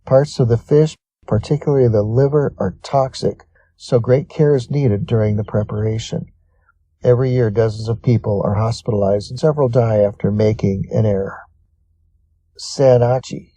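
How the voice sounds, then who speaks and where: A man with a very dark, soft-edged tone reads a prepared article as narrator. His voice is slightly husky and sits at an average male pitch. The sound is close and dry, with little room in it. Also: fairly even intonation, normal speed, no room sound, poor audio. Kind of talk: scripted reading of a list article.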